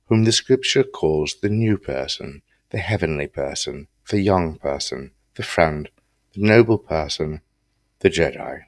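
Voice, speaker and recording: A man reciting philosophical quotations from a script in a solemn, deliberate manner. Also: low-pitched, no echo. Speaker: reader of quotations.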